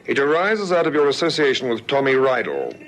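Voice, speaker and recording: An actor playing a domineering police lieutenant in a vintage radio drama, commanding and clipped. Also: male voice, medium pitch, sing-song, a little fast, fairly bright tone. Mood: stern, commanding. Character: stern, domineering male police lieutenant questioning suspects. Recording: noisy old radio drama recording.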